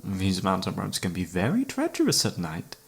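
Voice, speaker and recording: A man's voice: low-pitched, wildly swooping pitch, moderate pace, gravelly, husky, heavily acted. A man acting an obsessive, flirtatious serial killer who holds a detective captive, speaking with teasing mock courtesy in an ASMR roleplay. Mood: amused, gloating. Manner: teasing, mock-polite, theatrical, savouring words. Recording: background music under the voice.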